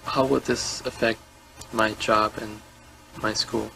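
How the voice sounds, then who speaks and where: A man in his twenties from Guyana, a suspect answering questions in a hesitant, halting way and stumbling over repeated words. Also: lowish voice, flat delivery, vocal fry, sharp tone, poor audio. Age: 20s. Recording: interview room audio with music overlaid.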